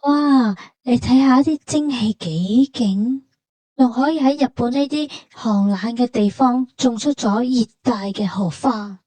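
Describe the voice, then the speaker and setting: A woman's voice: a little high, measured pace, mellow, no echo. A woman with a Hong Kong Cantonese accent hosting a travel vlog in a conversational, explanatory way, as scripted dialogue and narration.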